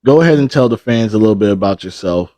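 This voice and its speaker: A man hosting a casual interview podcast, asking short, relaxed questions of his guest. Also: on the low side, fast, no room sound. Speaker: podcast host.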